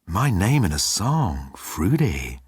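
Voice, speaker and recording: A breezy galactic president as a man performs him chats in a low voice. He is flippant, self-absorbed and carefree, a comic science fiction storybook character. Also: big pitch swings, slow, breathy edge, no room sound, clean studio sound, full character voice. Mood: pleased. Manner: breezy, chatty.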